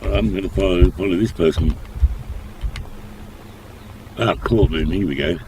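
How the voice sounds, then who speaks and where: A man's voice: low-pitched, measured pace, background noise. A man with a slight cough and sniffles, muttering hesitantly as he chats on a livestream while playing. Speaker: streamer playing online roleplay.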